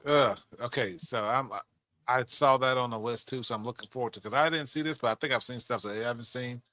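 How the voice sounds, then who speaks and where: Bright-voiced man hosting a casual podcast. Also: mid-range voice, fairly quick, dry recording. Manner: swears freely. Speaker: podcast host. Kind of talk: casual chat.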